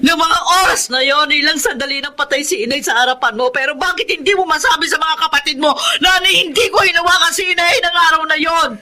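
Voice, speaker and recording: An actress playing a long-suffering but defiant Filipino wife in a melodramatic radio drama, emphatic and reproachful. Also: female voice, sits high, fairly even intonation, quick pace, few pauses, pushed and bright. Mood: anguished, pleading.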